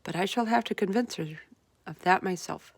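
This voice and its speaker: A woman's voice: mid-range voice, normal speed, no room sound, lightly acted. Clever storybook orphan girl, played by a woman, assured and commanding, laying out orders firmly, dry sound. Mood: confident. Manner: firm, instructing, assured. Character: confident, bossy, clever little orphan girl. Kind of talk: storybook read-aloud character voice.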